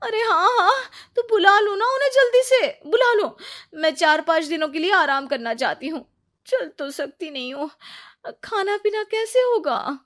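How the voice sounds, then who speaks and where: A woman's voice: very high-pitched, lively intonation, normal speed, fairly bright tone, pitched above the performer's own voice. A woman voicing a cheeky, exasperated young wife in a story, dramatic and complaining. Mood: eager, cheeky.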